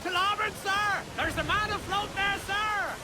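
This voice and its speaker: An actor playing an urgent ship's lookout in a video game, calling out reports. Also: male voice, extremely high pitch, unhurried, over a noisy crowd, heavy background noise. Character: urgent male sailor lookout on pirate ship. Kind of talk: video game character acting.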